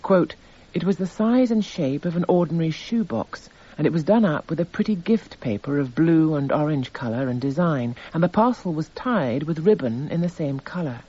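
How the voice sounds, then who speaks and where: An impassive narrator reading exhibits in a radio courtroom drama. His voice is mellow and husky, the delivery measured and detached. The recording is slightly dull. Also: on the high side, lively intonation. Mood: detached, factual. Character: impassive male narrator itemising court evidence. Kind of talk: scripted documentary-drama narration, reading evidence aloud.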